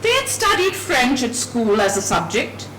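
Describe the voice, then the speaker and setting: A woman's voice: fairly high pitch, big pitch swings, normal speed. A woman reading literary fiction aloud as a dramatic narrator. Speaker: reader at a dramatised book reading. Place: indoor event room at a live reading. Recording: echoey noisy room from far off.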